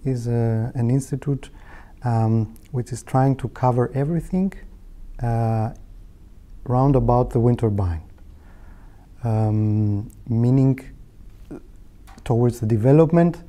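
A man in his thirties with a Greek accent, a native Greek speaker, explaining his job in a hesitant, measured interview style. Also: mid-range voice, mellow. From Greece. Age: 30s. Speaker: technical head of a materials laboratory. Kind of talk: informal career interview monologue. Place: indoor meeting room. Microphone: lapel.

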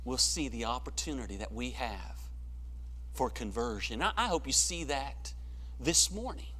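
A middle-aged man preaching a sermon in a church sanctuary, swelling to emphatic peaks and then dropping quiet and low. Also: lively intonation, moderate pace, husky. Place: church sanctuary, large room. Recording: long church reverb.

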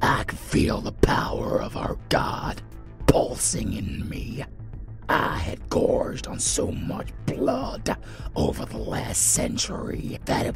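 A creaking, ancient vampire lord. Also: male voice, medium pitch, fairly bright tone, exaggerated voice. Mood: grave, menacing. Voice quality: gravelly, breathy, strained half-whisper. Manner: slow, theatrical, drawn-out. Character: ancient weakened male vampire lord, bitter, brooding, menacing. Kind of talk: dark fantasy audio roleplay. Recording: music bed under voice.

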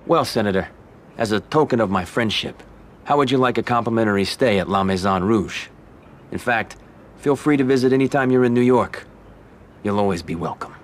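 A man playing an ambitious, composed young mobster in a video game cutscene, measured and businesslike. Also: lowish voice, a little hiss or hum, natural voice. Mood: smooth, assured. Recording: game audio with background ambience.

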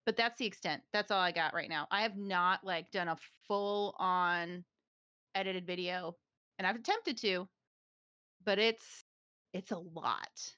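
A woman co-hosting a casual podcast chat, talking fast with lots of fillers and frequent laughs. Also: on the low side, bright, forceful tone, smooth, no echo, highs cut off. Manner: chatty, laughs often, many fillers. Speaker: podcast co-host, streamer.

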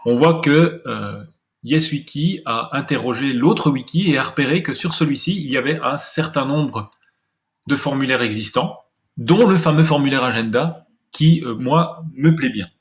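A man's voice: mid-range voice, moderate pace. A man presenting a screencast tutorial step by step, thinking aloud with some hesitation as he demonstrates.

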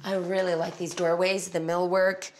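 A woman's voice: lowish voice, flat delivery, normal speed. A woman co-hosting a renovation show, talking enthusiastically about the features of a house. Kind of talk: casual on-site chat. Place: house interior.

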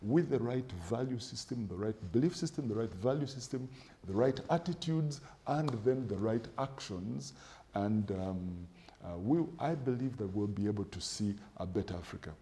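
Deep-voiced pastor, measured and emphatic. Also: male voice, expressive, warm tone. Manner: measured, emphatic lists and scripture quotes. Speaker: pastor, studio guest. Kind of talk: preaching-style interview answer. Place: TV studio set with sofas. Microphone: lapel mic.

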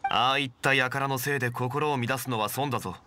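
An actor playing a calm, erudite gentleman in a video game, speaking formally and with measured composure. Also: mid-range voice, very noisy, natural voice. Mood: composed. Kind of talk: Japanese game voice acting.

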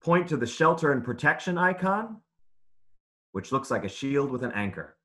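A man's voice: medium pitch, big pitch swings, moderate pace, pushed and bright. A man reading aloud and teaching young children in simple, encouraging, instructive phrases during an online lesson. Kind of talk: interactive children's read-aloud lesson over video call.

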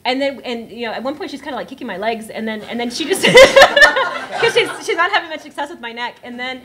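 A woman in her thirties telling a lively story on a literary panel, laughing and acting out the scene, into a table mic in front of an audience. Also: high-pitched, a little fast, very noisy. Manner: animated, laughing, acts out the scene. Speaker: panel writer. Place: gallery event room with audience.

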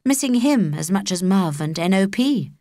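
A woman with a British accent reading a children's story as an expressive audiobook narrator. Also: medium pitch, moderate pace, no echo, studio quality.

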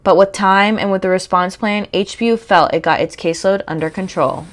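Young female narrator, scripted documentary read, steady and mid-pitched. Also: a little fast. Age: young adult. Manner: even, read-aloud delivery.

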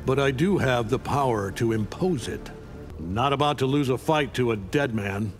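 A man's voice: medium pitch, animated, normal speed, over music, natural voice. An actor playing a cocky, foul-mouthed tough-guy fighter in a video game, casual and swaggering.

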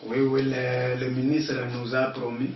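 A man's voice: medium pitch, monotone, a little slow. A man in his sixties with a Congolese accent, answering interview questions as a local official. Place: small bare wooden-walled office. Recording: distant muffled echoey room, background noise.

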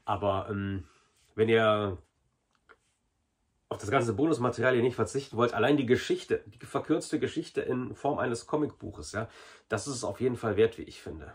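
A man's voice: mid-range voice, normal speed, slightly rough. A man in his forties giving a casual, chatty review to camera in a small room. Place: small indoor hobby room.

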